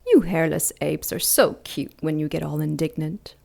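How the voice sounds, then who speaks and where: A woman voicing an ancient, cruel female demon who taunts slowly and with menace in an audio drama. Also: low-pitched, creaky, darkish tone, exaggerated voice. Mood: taunting. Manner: slow, mocking, menacing purr.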